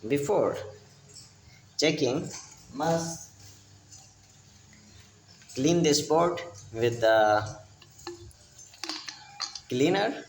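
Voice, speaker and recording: Slow, halting man with a creaky voice. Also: fairly high pitch, animated, reverberant, a little hiss or hum. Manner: halting, fragmented phrases with repeated pointers. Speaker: electronics repair instructor. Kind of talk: unscripted hands-on repair demonstration.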